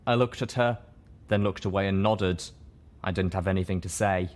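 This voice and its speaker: Male story reader with a fairly low voice for dramatic fiction narration. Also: steady tone, moderate pace.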